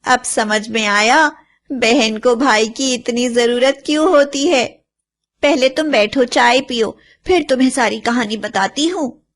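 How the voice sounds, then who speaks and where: A woman's voice: fairly high pitch, a little slow, natural voice. A woman voicing a protective, devoted sister and young mother in a children's story, speaking earnestly and with emphasis. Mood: affectionate. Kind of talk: dramatised children's story voice acting.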